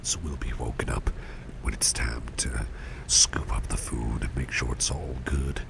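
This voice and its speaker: A man playing a doting, protective businessman boss in an ASMR roleplay, murmuring in a gentle, courteous, breathy whisper with unhurried, reassuring repetition. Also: very deep voice, heavily acted. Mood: caring, attentive. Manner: gentle, unhurried, hesitant pauses, repeats phrases reassuringly. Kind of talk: ASMR roleplay, close personal attention. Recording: audible steady background noise.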